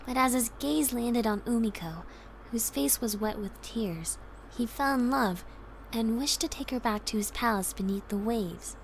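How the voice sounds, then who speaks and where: Sweet earnest young game girl, kinda high voice, bit of ambience behind her. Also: normal speed, vocal fry, rough recording. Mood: storytelling. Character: gentle young woman, wistful and earnest. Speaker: voice actress in a visual novel. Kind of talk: voiced visual novel dialogue. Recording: game audio with background ambience. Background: ambience noise.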